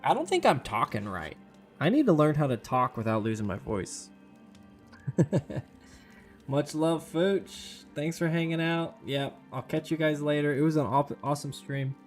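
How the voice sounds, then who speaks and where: A young man, hoarse and losing his voice, chatting casually with viewers while streaming a game. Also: a little high, animated, moderate pace, soft background music. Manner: chatty, talks to viewers by name. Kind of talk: casual live-stream chat.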